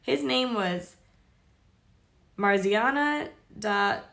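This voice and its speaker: A woman's voice: medium pitch, very slow, vocal fry, fairly bright tone. A woman hosting a casual read-aloud stream, informal and sweary, stumbling over longer words and dropping in chatty asides. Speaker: host reading aloud to viewers.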